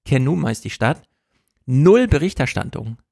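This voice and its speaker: A man's voice: medium pitch, big pitch swings, a little slow, vocal fry, a little mellow, dry recording, clean studio sound. A man hosting a livestream, giving casual, opinionated political commentary and calling things grotesque again and again. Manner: opinionated, repeats "grotesk", restless. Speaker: livestream host.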